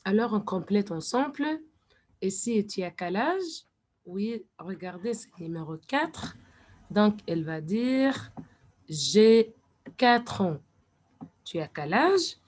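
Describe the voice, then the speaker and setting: A woman French teacher walks children through a worksheet, speaking very slowly. She draws each word out with care. Now and then she slips into English to explain. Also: medium pitch, expressive, darkish tone, a little hiss or hum. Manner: slow, deliberate, switching to English to explain. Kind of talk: classroom lesson.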